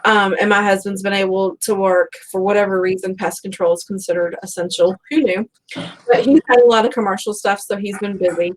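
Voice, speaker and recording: A woman's voice: medium pitch, fairly even intonation, brisk, rough recording. A woman hosting a casual book chat, rambling along in a conversational, self-deprecating way. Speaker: host of a book fan live show.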